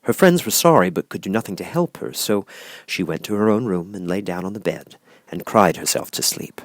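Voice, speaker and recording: A man's voice: low-pitched, animated, a little fast, no echo. A man reading a scripted children's storybook as an audiobook narrator.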